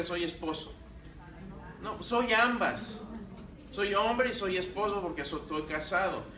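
A man's voice: high-pitched, bright, forceful tone, roomy sound, distant mic, slight background noise. A man from Mexico teaching a Bible class, talking to the room and putting questions to his students. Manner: conversational, asks the class questions. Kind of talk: church class lecture.

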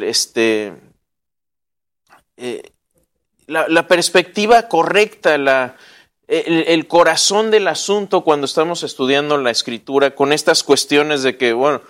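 A man's voice: a little high, lively intonation, takes it slowly, a bit bright. A man, teaching a casual Bible class, joking and quizzing his listeners. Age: 40s. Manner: joking and chatty, asks the audience questions.

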